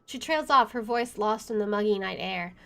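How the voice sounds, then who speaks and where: A woman doing let's play commentary, reading game text aloud in an expressive, dramatic way. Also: mid-range voice, moderate pace. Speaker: video game streamer. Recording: background noise and game ambience.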